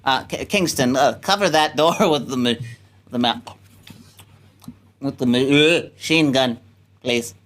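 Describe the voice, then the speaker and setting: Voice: medium pitch, unhurried, long pauses, a bit bright, voice pushed down, lightly acted. A performer playing a flustered, stubborn male spaceship captain, stammering and hesitant in a comic radio play. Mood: nervous. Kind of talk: cold-read comic radio sci-fi play.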